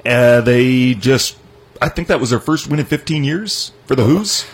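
Husky-voiced sports radio host. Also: male voice, medium pitch, lively intonation. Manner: brisk and knowledgeable, runs the segment. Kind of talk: casual sports chat.

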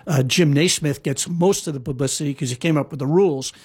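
A man's voice: on the high side, a little fast, darkish tone, breathy edge. A man telling historical stories in a casual conversation, rambling with frequent fillers and restarts. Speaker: guest storyteller. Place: lives in Hawaii.

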